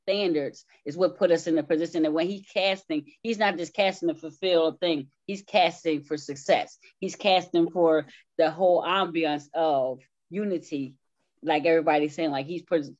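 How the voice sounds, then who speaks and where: A woman's voice: sits low, a little mellow, muffled recording. A woman speaking as a cast guest in a casual talk show interview, emphatic and repeating her phrases as she builds a point. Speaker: stage play cast member interviewed as a guest.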